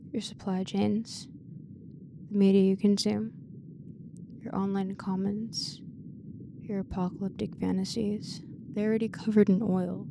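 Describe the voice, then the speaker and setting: A woman's voice: mid-range voice, vocal fry, dark tone, dry recording. A woman presenting a casual scripted video essay with a wry, slangy and emphatic delivery.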